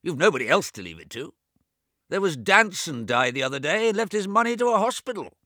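A fussy, money-minded deaf old man who keeps repeating himself, played by a man narrating a storybook. His voice is pushed high and loud, swinging widely in pitch, bright and dry. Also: big pitch swings, brisk, no room sound, exaggerated voice. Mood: fretful. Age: over 70. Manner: fretful, repetitive, nagging.

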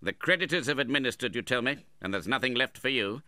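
A man's voice: low-pitched, expressive, normal speed, sharp tone, smooth, no echo, clean studio sound, full character voice. A man voicing a stern, miserly old uncle in a storybook, contemptuous and impatient. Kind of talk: dramatised classic novel reading.

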